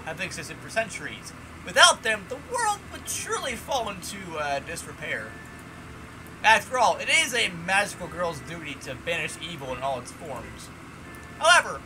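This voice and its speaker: A man's voice: fairly high pitch, rough recording. A man reading the lines of a formal female teacher of magic, lecturing a class.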